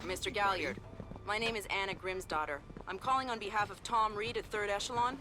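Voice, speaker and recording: A woman's voice: medium pitch, brisk, pushed and bright, natural voice. Composed female intelligence operative over music, acted. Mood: cool, formal. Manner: controlled, businesslike. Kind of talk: video game cutscene acting.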